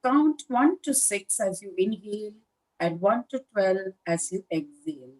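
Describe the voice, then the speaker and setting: A woman leading a guided meditation as a teacher, speaking in a calm, measured manner with long pauses between short phrases. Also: medium pitch, low-quality recording. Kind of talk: guided meditation instruction, live session.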